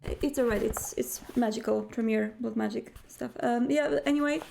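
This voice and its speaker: Woman playing a tabletop rpg, casual, soft mellow tone with lots of fry. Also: on the high side, brisk, a little hiss or hum. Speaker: player in a tabletop game. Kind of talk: casual improvised roleplay.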